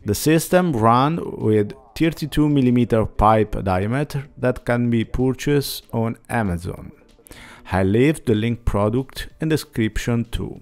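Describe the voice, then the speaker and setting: A man's voice: mid-range voice, a little mellow. A man in his forties, host of a woodworking channel, giving a plain scripted voice-over that walks through a build in slightly non-native phrasing. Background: faint background music.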